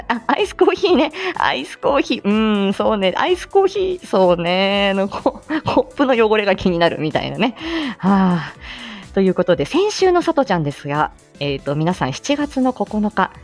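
Woman host, lively swinging intonation, chatty and laugh-prone, casual live morning chat, faint music underneath. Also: mid-range voice, fairly quick. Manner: chatty, laughs often while talking. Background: background music.

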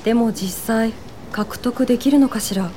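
Actress doing skeptical lady at a meeting, radio drama, highish but mellow, faint noise. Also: moderate pace, lightly acted. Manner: questioning, skeptical, polite. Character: doubtful woman at a suffrage meeting. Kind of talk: Japanese radio drama acting.

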